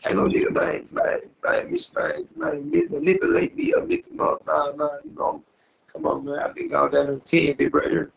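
A young American man with a very gravelly, crackling voice that sits a bit high. He talks in casual, slang-heavy street talk on a phone video call, repeating phrases emphatically and tacking questions onto his sentences. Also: animated, moderate pace, muffled recording. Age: young adult. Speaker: rapper being interviewed. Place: small indoor room, on a video call. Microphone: phone at arm's length on video call.

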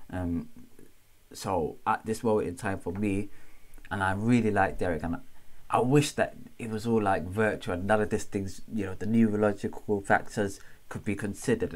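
A young man hosting a boxing vlog from a small room at home, slightly raspy from a cough, chatty and often checking in with viewers. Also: deep voice. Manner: chatty, keeps checking in with viewers, gestures a lot. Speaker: boxing channel host. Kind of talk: casual opinion vlog.

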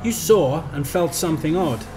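A man's voice: on the high side, animated, normal speed, crowd noise, close to an everyday voice. A man reading the part of a chatty young pub bartender in a short story, telling a local legend in a friendly, matter-of-fact way over music. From England. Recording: music playing behind.

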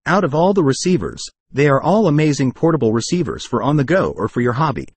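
Lively male product review narrator, close dry sound. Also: a little high, quick pace, slightly rough, no echo. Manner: promotional, read from a script.

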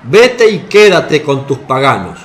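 An actor playing a stern, haughty temple official in a radio drama. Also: male voice, on the high side, very animated, slow.

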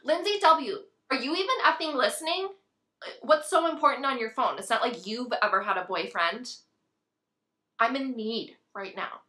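A woman performing a dramatic monologue as a volatile, self-pitying, jilted young woman, swinging between breathy whimpers and loud outbursts. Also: very high voice, sing-song, slow pace, long pauses, full character voice. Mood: snapping, irate.

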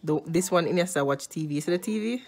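Teasing Jamaican mom on phone cam. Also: female voice, on the low side, fast talker. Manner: teasing, sassy, scolding with dry humor. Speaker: mother and vlogger. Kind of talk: casual family vlog. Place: small room and hallway of a house. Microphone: phone held at arm's length.

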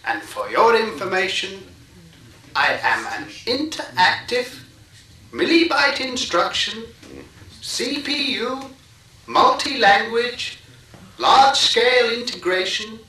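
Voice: mid-range voice, expressive, takes it slowly, bright, forceful tone, roomy sound, distant mic, low-quality recording. An actor in a radio drama playing a proud, salesmanlike school computer terminal with clipped, mechanical delivery. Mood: boastful, chirpy.

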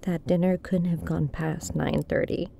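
Woman acting young adult daughter, vocal fry, relaxed dark tone, guarded, brooding, naturalistic horror drama. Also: fairly low pitch, unhurried, a little hiss or hum. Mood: guarded, skeptical. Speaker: voice actor.

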